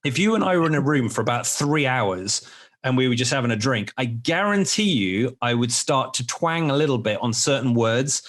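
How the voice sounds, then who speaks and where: Relaxed rambling podcast host, male. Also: medium pitch, normal speed. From South Africa and England. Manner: rambling, self-correcting, relaxed. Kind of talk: casual chat.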